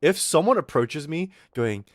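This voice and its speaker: Animated Australian man ranting on stream. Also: fairly high pitch, measured pace, dry recording, studio quality. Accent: Australian with some American. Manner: animated, swearing, mocking voices. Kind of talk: casual ranting stream chat.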